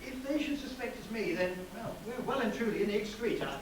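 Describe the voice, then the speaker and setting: A man's voice: high voice, expressive, quick pace. A man playing an urbane, scheming lover, arch and slightly anxious, in theatrical crime drama dialogue. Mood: conspiratorial. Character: scheming, theatrical male lover plotting a murder. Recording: echoey, distant, noisy stage-like recording.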